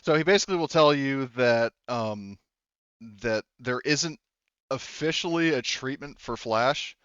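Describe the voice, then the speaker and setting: A man narrating casually as game master, thinking aloud in a halting way. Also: medium pitch, expressive, fairly bright tone, clean tone, no room sound, lo-fi sound.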